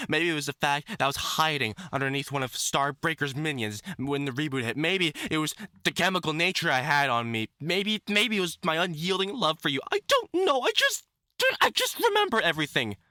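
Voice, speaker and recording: A man's voice: high-pitched, pushed and bright, no echo, studio quality, full character voice. A man playing an obsessive, lovesick furred supervillain in a dramatic audio roleplay, speaking intimately to the listener with theatrical endearments. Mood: anguished pleading. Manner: pleading, stammering, theatrical endearments. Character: obsessive lovesick male supervillain with fur, desperate and menacing.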